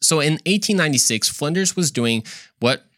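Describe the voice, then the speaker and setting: A man's voice: a little high, lively intonation, normal speed, fairly bright tone, dry recording, studio quality. A man hosting a podcast, telling stories casually and conversationally in a playful, joking manner with exclaimed asides. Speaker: podcast host. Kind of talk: casual, conversational storytelling to listeners.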